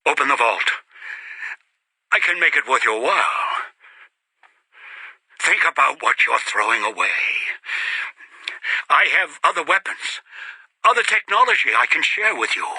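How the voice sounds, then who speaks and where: An actor playing a bitter, obsessive, paranoid old man in a video game, with a strained raspy voice and theatrical delivery. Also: on the high side, bright, forceful tone, no room sound, full character voice, poor audio. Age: older. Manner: theatrical, muttering to himself, swinging into desperate outbursts. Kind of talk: dramatic video game voice acting.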